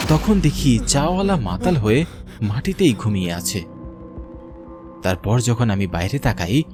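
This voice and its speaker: A man giving a scripted first-person narration of a horror story, as a government officer looking back. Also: medium pitch. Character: haunted government officer recounting his mine visit.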